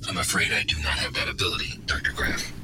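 A man playing a polite, unflappable computer assistant in an audio drama, speaking in a formal, measured way. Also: sharp tone, soft background music. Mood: calm, courteous. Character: polite, unflappable male AI assistant of an undersea base.

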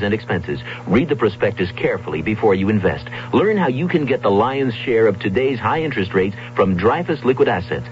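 A man reading a scripted 1970s radio commercial as a polished, persuasive announcer. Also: mid-range voice. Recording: dull 1970s radio broadcast. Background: faint music.

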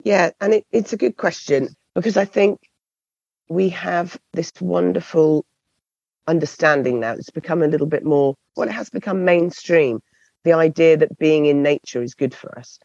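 A woman with a croaky, hoarse voice, answering interview questions thoughtfully and often repeating words as she searches for phrasing. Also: deep voice, moderate pace, a little mellow, no room sound, muffled recording. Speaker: podcast guest.

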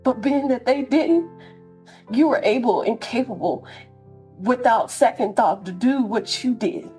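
A woman with a fairly high voice delivers an earnest personal statement. She speaks pointedly, straight to the person she is addressing, with faint music underneath. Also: measured pace, dark tone. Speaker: mother giving victim impact statement. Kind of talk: spoken victim impact statement in court. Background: faint instrumental music.

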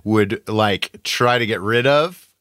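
A man's voice: fairly low pitch, takes it slowly, fairly bright tone, dry recording, clean studio sound. A man co-hosting a podcast in casual, conversational chat about television. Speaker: podcast co-host.

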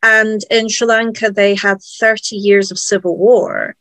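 A woman's voice: medium pitch, level tone, a little slow, fairly bright tone, dry recording. A woman asking thoughtful questions in a casual interview conversation.

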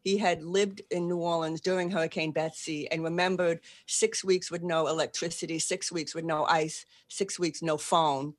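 A woman's voice: fairly low pitch, steady tone, moderate pace, few pauses, dry recording. A woman in her sixties with a Boston accent, an author telling her story in an interview in a plain, matter-of-fact way. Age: 60s. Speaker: author guest. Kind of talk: conversational interview answers.

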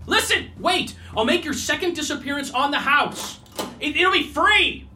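A man's voice: extremely high pitch, animated, moderate pace, pushed and bright, heavily acted. A man acting a slippery, easily flustered salesman in a comedic audio drama, glib and evasive, hesitating and then turning pushy. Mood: desperate. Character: slippery, flustered male salesman of fake disappearances.

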